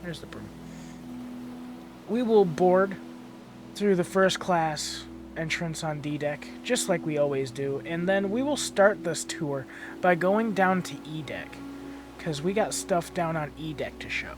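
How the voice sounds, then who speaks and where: Hoarse young man, tired and casual. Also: fairly high pitch, expressive, unhurried, over music. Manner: casual and swears a lot; stumbles and corrects own words. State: gravelly, hoarse from yelling; tired, just woke up. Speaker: channel host touring a build. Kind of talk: casual gameplay tour narration. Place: bedroom at home.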